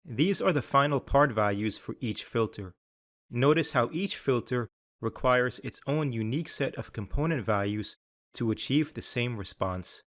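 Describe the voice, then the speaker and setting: A man's voice: mid-range voice, lively intonation, moderate pace, a bit bright. Man narrating a scripted technical tutorial. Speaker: software demo narrator.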